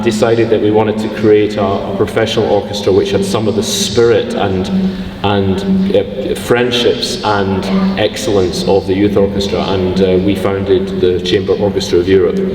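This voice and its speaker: Middle-aged Scottish conductor, self-deprecating, heard from afar in a reverberant hall. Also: male voice, lowish voice, a little mellow, a little hiss or hum. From Scotland. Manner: self-deprecating, halting with restarts. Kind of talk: conversational interview storytelling. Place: concert hall stage. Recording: echoey hall, from a distance.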